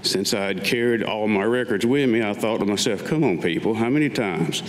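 An older man of about seventy reads a personal story at a lectern with wry asides. His voice is fairly low, carried in a reverberant room with faint noise behind it. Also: a little fast. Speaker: Vietnam veteran reading his own piece. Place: public meeting room with audience, indoors. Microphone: gooseneck lectern microphone.

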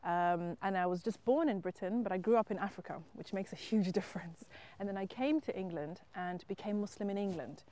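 A woman's voice: medium pitch, a little fast, dark tone. A middle-aged woman from Zimbabwe, a writer and entrepreneur, speaking earnestly and reflectively about her life in a personal interview. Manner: earnest, reflective, emphatic at times. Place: wheat field outdoors.

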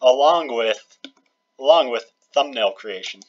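A man's voice: medium pitch, lively intonation, unhurried. A man giving casual, sarcastic and crude commentary while playing a game. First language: English. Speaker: game player and co-host. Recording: dull lo-fi mic, noisy, clicks. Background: computer clicks and typing.

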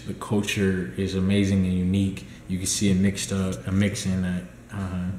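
Young man, dietitian vlogger, deep monotone, casual rambling talk, roomy home recording. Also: a little hiss or hum. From United States. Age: young adult. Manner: relaxed, rambling, many ums. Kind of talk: casual vlog update. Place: small indoor room at home. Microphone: laptop or phone camera at close range.

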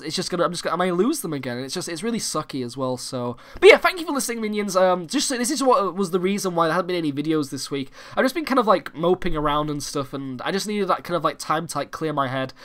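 Young man, croaky cold voice, high and lively pitch, quick rambling talk, bright tone, lots of fillers. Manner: rambling, many fillers and restarts. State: hoarse from a cold. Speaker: gaming channel host. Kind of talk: casual vlog to viewers. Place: home recording room.

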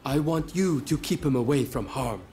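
An actor playing a wise, self-sacrificing elder samurai master in a video game, speaking formally and deliberately. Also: male voice, medium pitch, warm tone, a little hiss or hum, natural voice. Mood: grave, resolved. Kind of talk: video game cutscene voice acting.

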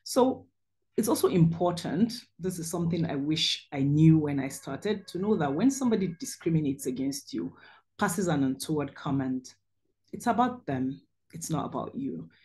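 A middle-aged woman speaks into a video call from a small room and hosts a talk over slides. Her voice sits low and mellow, and she tells her story earnestly with many pauses and ums. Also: animated. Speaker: webinar host and surgeon.

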